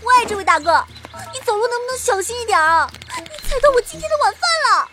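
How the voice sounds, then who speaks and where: A woman's voice: extremely high pitch, sing-song, fast talker, over loud music. A woman playing a cute, cheeky, broke teenage girl in an audio drama, perky and theatrical as she acts and narrates. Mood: indignant, pleading.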